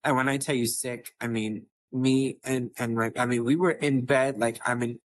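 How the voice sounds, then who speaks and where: A man's voice: normal speed, no echo. A man talks straight to his viewers in a loose, rambling way, circling back and restarting his sentences. His voice sits mid-range and is recorded close and dry, with no room sound. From New York. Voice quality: deep voice. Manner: rambling, restarts often, animated and earnest. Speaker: vlog host. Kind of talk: casual talk to camera.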